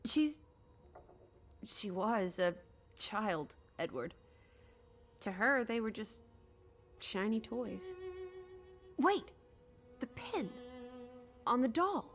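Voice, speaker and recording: An actress playing a spirited, inquisitive, imaginative young female companion in a video game, expressive, playful and probing. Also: on the high side, extremely slow, long pauses, faint music behind, heavily acted. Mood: earnest. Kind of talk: scripted game dialogue.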